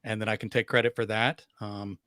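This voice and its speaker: Bright, dry-sounding man bantering. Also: fairly low pitch, flat delivery, a little fast, clean tone, no room sound. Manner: joking, teasing, self-deprecating. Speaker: podcast guest. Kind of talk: casual podcast banter.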